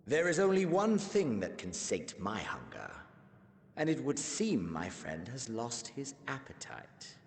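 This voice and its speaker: An actor playing a cold, theatrical warlord villain who speaks in grandiose, archaic phrases. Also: male voice, wildly swooping pitch, unhurried. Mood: coldly amused. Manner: grandiose, archaic speech with sweeping pitch swings. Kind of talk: video game cutscene acting. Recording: clean studio dialogue over loud game score.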